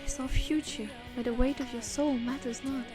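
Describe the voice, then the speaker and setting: A woman's voice: sits high, fairly even intonation, a little fast, natural voice. A woman voicing an ancient, weary otherworldly being in a fantasy game, speaking in a grave, formal, archaic way. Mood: solemn, weary. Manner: formal, archaic, solemn storytelling. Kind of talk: fantasy game character monologue. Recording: music under the voice.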